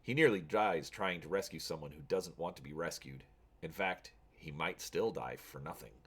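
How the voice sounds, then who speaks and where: A man's voice: very deep voice, normal speed, fairly bright tone, slightly rough. A man hosting a channel, talking casually to viewers and reading an adventure story aloud with drama.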